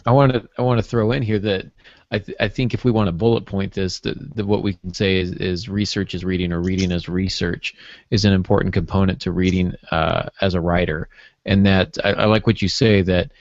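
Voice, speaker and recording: Man, deep voice, podcast co-host, halting summarizing talk, close dry sound. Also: fairly even intonation, no echo, dull audio. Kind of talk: conversational podcast discussion.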